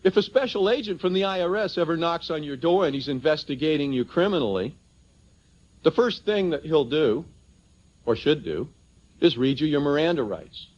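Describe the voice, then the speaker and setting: A man with a fairly high voice delivers a persuasive seminar talk, swinging widely in pitch as he stresses his points. He is emphatic and anecdotal, often posing questions to his listeners. The recording is dull and lo-fi, like an old tape. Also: lively intonation, normal speed, a little mellow. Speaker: seminar speaker. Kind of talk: persuasive public seminar talk, addressing the audience.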